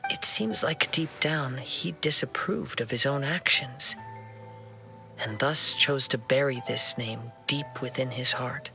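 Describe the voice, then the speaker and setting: A calm young wandering swordsman in a game, very crisp bright edge, bit of creak, soft music. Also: medium pitch, exaggerated voice. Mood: reflective. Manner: calm, thoughtful, measured. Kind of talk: scripted game dialogue.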